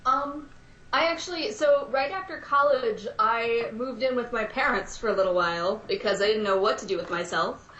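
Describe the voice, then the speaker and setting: A woman's voice: fairly high pitch, moderate pace, fairly bright tone, slight background noise. A woman talking as a podcast guest in a chatty, loose way with frequent ums. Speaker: guest, audio drama performer. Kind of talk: casual podcast chat. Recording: roomy, dull sound.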